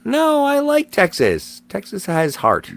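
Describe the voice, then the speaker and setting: A man's voice: high-pitched, huge pitch swings, slow, creaky. A man guesting on a casual podcast, teasing the host with dry sarcasm. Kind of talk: casual banter.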